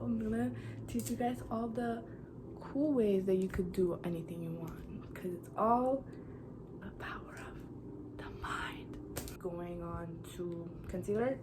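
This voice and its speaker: A woman's voice: medium pitch, background noise. A woman in her twenties from Iran, chatting casually and bluntly while doing her makeup, giving motivational pep talk. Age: 20s. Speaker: beauty vlogger. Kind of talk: casual get-ready-with-me chat.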